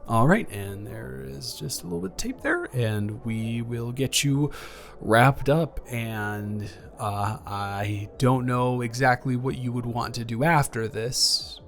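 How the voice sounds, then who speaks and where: A caring young ex-boyfriend, acted out by a man in an improvised audio roleplay. He is flustered and casual, with a medium-pitched voice. He stammers and halts as he searches for words. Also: takes it slowly, creaky, soft background music. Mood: gently teasing, caring.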